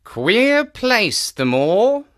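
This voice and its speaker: A man playing an eager male naturalist who knows the moors, explaining with animated enthusiasm. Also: sits high, extremely slow, exaggerated voice. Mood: musing. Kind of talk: audio drama acting.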